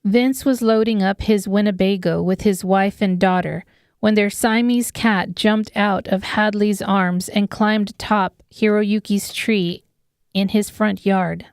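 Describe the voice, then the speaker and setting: A woman's voice: mid-range voice, steady tone, unhurried, darkish tone, clear, resonant tone, no echo, studio quality. A woman reading scripted narration of an apocalyptic horror audiobook. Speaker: author narrating her audiobook.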